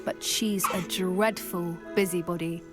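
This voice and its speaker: A woman playing a gentle, earnest teenage British schoolgirl who loves magical beasts, speaking softly in scripted video game dialogue. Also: lowish voice, fairly even intonation, unhurried, close to an everyday voice. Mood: fond. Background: game music.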